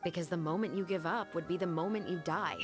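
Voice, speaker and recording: Actress as a low, composed young woman officer. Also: over music, natural voice. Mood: solemn, earnest. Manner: measured, earnest, formal. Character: composed young woman officer, serious, reflective, quietly resolute. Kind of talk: scripted video game dialogue.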